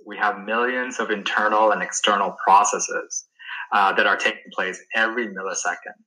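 Bright-toned man from Sri Lanka. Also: lowish voice, rough recording. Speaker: guest, wellness coach. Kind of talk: interview answers.

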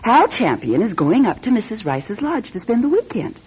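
An actress playing a reluctant, fretful young wife with a husky voice in a radio drama. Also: female voice, fairly high pitch, sing-song, moderate pace, a little mellow, exaggerated voice. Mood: chatty, hesitant. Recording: dull radio recording.

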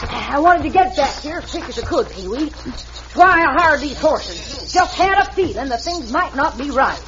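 A woman's voice: high voice, big pitch swings, normal speed. A determined lady sheriff. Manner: folksy Western drawl words. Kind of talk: 1940s radio acting. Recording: dull old radio recording with hiss.

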